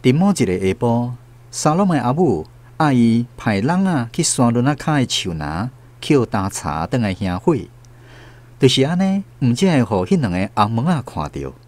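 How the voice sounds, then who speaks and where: A man's voice: medium pitch, sing-song, normal speed, a little mellow, close to an everyday voice. A man with a Taiwanese accent acting as the narrator of a historical radio drama. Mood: solemn narration. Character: storyteller narrator of a historical island tale.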